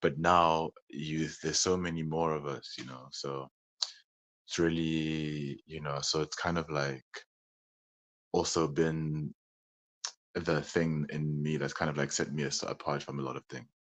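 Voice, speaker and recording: Super deep voiced guy rambling thru his answers, lots of like and you know, dry sound. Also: steady tone, a little slow, fairly bright tone, dry recording. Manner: meandering, hesitant, full of "like" and "you know". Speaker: musician guest. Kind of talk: casual interview talk.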